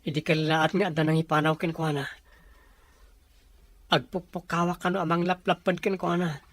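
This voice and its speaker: An actor playing an anxious, devoted young fiancé, emotional and fretful, in an Ilocano radio drama. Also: male voice, on the high side, little pitch movement, moderate pace, fairly bright tone, no room sound. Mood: worried.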